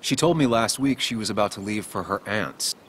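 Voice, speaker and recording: Gentle, low-voiced consoling man. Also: animated, a little hiss or hum. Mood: cautious, frank. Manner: measured, reassuring, sympathetic. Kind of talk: dramatic video game acting.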